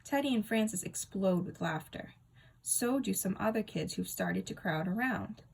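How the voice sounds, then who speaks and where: A woman reading a children's book aloud as a storyteller, with expressive, dramatic and emphatic delivery. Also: mid-range voice, normal speed, creaky, breathy edge, poor audio.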